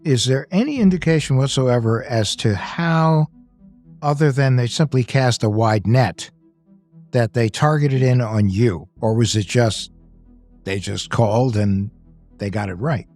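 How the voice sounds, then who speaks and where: A man's voice: mid-range voice, a little slow, darkish tone, faint music behind. A man co-hosting a podcast, talking through questions and analysis in a conversational way. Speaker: podcast co-host.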